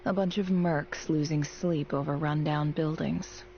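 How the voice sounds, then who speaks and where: Game casting: a wry, matter-of-fact young female student, guarded, lowish pitch with noticeable vocal fry. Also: steady tone, measured pace, dark tone, muffled recording, a little hiss or hum. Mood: curious, musing. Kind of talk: video game voice acting.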